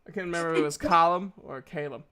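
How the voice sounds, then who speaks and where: A man improvising narration as game master of an online tabletop game, with halting, hesitant phrasing. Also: a little high, crowd noise.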